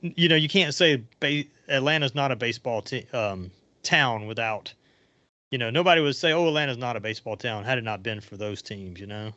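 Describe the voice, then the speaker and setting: A man's voice: medium pitch, a little fast, pushed and bright, no echo. Rambling man in dull, lo-fi audio. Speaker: podcast host. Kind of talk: casual sports chat interview.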